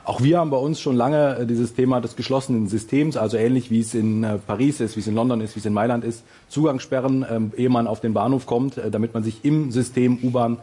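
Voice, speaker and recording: Husky, mellow man speaking fast. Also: mid-range voice, steady tone. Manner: many filler sounds. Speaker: politician. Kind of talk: debate statement.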